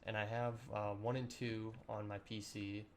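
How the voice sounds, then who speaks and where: A young man with a slightly raspy, sore voice, chatting casually and rambling through a let's-play with lots of ums. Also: lowish voice, normal speed, a little hiss or hum. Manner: relaxed, rambling with many ums and throat clearing. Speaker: gaming channel host.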